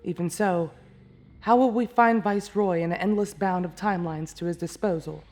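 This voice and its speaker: Woman playing a serious, low-voiced warrior agent. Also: moderate pace, darkish tone, close to an everyday voice. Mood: guarded. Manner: formal, questioning, guarded. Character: serious, skeptical, proud female warrior agent. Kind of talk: scripted anime fan roleplay drama.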